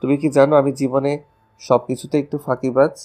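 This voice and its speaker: A man acts out a playful young man newly married and deeply in love. His voice is low, and he teases with open affection. Faint music plays under this romantic audio story dialogue. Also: level tone, fairly quick, a little mellow, lightly acted. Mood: earnest, affectionate. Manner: teasing, affectionate.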